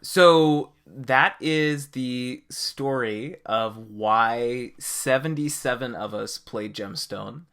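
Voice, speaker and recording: A man's voice: mid-range voice, very slow, few pauses, fairly bright tone, smooth. A man hosting a podcast in a casual, conversational style. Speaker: podcast host.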